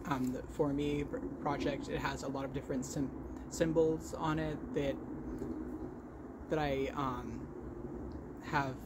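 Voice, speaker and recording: A man's voice: mid-range voice, flat delivery, heavy background noise. A man chatting casually as a vlog host, with a stutter that repeats and blocks sounds, often correcting himself as he goes. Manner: halting, self-correcting. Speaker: vlog host showing crochet work. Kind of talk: casual podcast-style chat.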